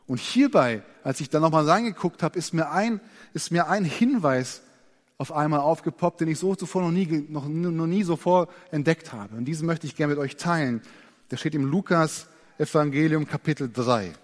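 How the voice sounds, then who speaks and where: A man preaching in a slightly high voice, earnest and expressive. He slows down and goes soft to stress key points. His voice trails off into the long reverb of a large church hall. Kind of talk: sermon.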